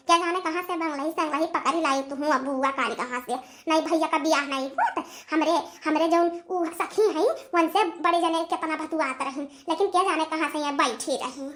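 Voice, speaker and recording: A woman's voice: high voice, normal speed, hardly any pauses, a bit bright. A woman voicing a gossipy, scheming young village daughter-in-law in a cartoon, with an Awadhi accent and an emphatic, repetitive way of talking.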